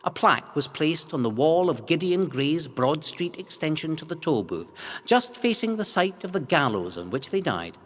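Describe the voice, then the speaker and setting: Dramatic male history narrator, widely swooping pitch. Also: big pitch swings. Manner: dramatic, measured storytelling with emphatic phrasing. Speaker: narrator of a local history series. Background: music bed.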